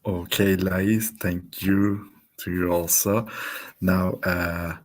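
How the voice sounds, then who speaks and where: A man from Turkey with a Turkish accent, moderating a webinar and speaking haltingly with filler sounds. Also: deep voice, level tone. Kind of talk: webinar talk.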